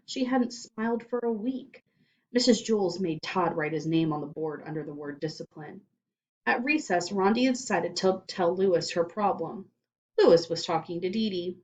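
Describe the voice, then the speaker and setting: A woman reading a children's storybook aloud in an expressive narrating style. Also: on the low side, moderate pace, slight background noise. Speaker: storybook reader. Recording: slightly dull, lo-fi sound.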